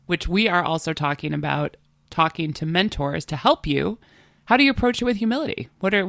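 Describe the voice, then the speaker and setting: A woman's voice: lowish voice, vocal fry, no echo, studio quality. A middle-aged woman co-hosting a podcast, chatty and enthusiastic, sounding nasal from a cold. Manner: chatty, enthusiastic, many fillers. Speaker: podcast co-host. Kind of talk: casual podcast chat.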